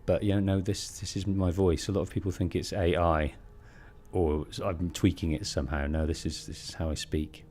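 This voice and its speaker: Deep-voiced British man streaming a game. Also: fairly even intonation, moderate pace, a little mellow. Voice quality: deep, bassy. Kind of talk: let's play commentary, storytelling. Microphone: close bass-heavy streaming microphone.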